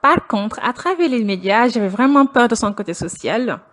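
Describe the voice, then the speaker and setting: A woman's voice: fairly high pitch, lively intonation, normal speed. A young woman from Senegal, a doctoral student, telling the story of her studies in an interview in an earnest, storytelling way. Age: young adult. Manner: earnest, storytelling with light laughs. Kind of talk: personal account in an interview.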